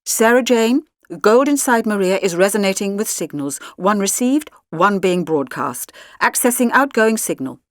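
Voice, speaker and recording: Female reader as male supercomputer in a storybook: calm, analytical, formal, closely recorded with no room sound. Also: medium pitch, no echo, studio quality, natural voice. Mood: formal, reporting. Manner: formal, measured, technical phrasing.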